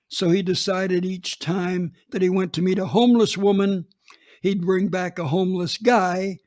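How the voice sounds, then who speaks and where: A man's voice: high-pitched, a little mellow. An actor playing a blunt, unapologetic retired police chief in his eighties, recounting a case in a radio drama. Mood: somber. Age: 80s. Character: gruff old male retired police chief.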